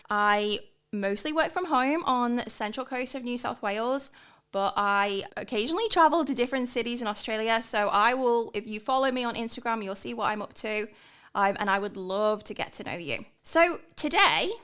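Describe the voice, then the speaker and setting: A woman in her thirties with an English accent, giving an energetic, emphatic podcast talk as a guest consultant. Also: a little high, smooth. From England. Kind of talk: sponsored podcast monologue.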